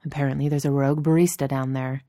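Grumpy male desk worker, acted by a woman reader, dry wit, gruff delivery, low pitch, dry close storytelling sound. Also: fast, dry recording. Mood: gruff, deadpan. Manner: gruff, deadpan, sarcastic. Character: grumpy, dry-witted, caffeine-starved male office writer.

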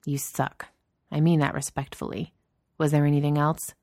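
A woman voicing a grumpy, dry-witted male office worker with a gruff, deadpan, sarcastic manner. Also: low-pitched, normal speed, no room sound. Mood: sullen.

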